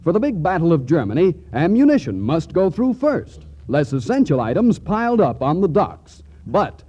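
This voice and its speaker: A man's voice: on the high side, very animated, moderate pace, mellow, slightly rough. A man working as a commercial announcer, reading a scripted 1940s radio advertisement in an earnest, emphatic way. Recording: muffled 1940s radio recording.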